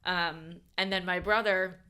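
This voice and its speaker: A woman's voice: on the low side, fairly even intonation, measured pace, pushed and bright, clean tone. A young woman from the United States, a podcast guest in casual chat who rambles with many fillers and corrects herself.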